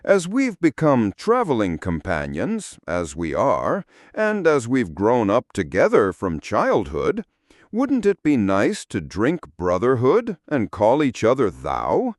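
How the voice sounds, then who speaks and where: A man's voice: medium pitch, huge pitch swings, slow, dry recording, studio quality, pitched above the performer's own voice. A man voicing an earnest, naive and despairing learned man in a storybook tale, with a plaintive manner. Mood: hopeful, timid.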